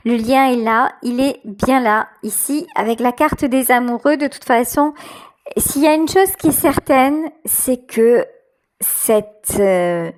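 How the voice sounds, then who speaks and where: Slow-talking woman with a high-pitched voice. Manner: halting, with frequent pauses and repeated phrases. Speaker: tarot reader giving a guidance reading. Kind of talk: intuitive tarot reading, unscripted, addressing the listener.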